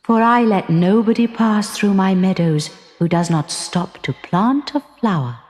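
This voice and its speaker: Storybook casting: gracious meadow spirit woman read by a female narrator, unhurried, gently firm, melodic. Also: mid-range voice, animated, long reverb tail.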